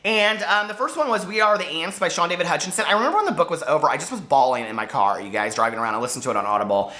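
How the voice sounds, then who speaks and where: A man in his forties chatting casually and excitably to viewers as a book vlogger, gushing and a little campy. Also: on the high side, rapid-fire. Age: 40s. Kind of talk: casual chat to viewers, book tag video.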